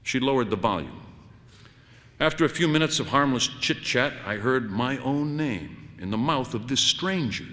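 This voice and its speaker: A man's voice: mid-range voice, animated, normal speed, sharp tone, in a large hall. A man narrating a first-person literary story in a conversational, wry, dramatic way as an audiobook reader.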